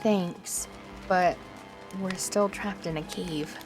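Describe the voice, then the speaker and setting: A woman's voice: lowish voice, steady tone, slow pace, a little mellow, soft background music, rough recording. A woman playing a sulky, insecure young changeling princess, a younger sister with a glum, reluctant manner, in an audio drama. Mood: glum, uncertain. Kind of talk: fan audio drama acting.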